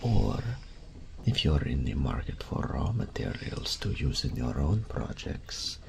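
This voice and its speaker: A man playing an elderly, courteous master tailor in a fantasy audio roleplay, with a gravelly, breathy old voice and a formal, unhurried, deferential manner. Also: a bass voice, big pitch swings, slight background noise, full character voice. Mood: courteous, eager. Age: older. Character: elderly courteous male master tailor, wistful and proud shopkeeper.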